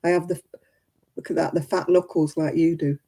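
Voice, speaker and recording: Woman, seventies, chatty livestream host, breathy, deep dark tone. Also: steady tone, moderate pace. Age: 70s. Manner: chatty and homely, laughs often, addresses viewers by name. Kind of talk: casual chat reading out viewer comments.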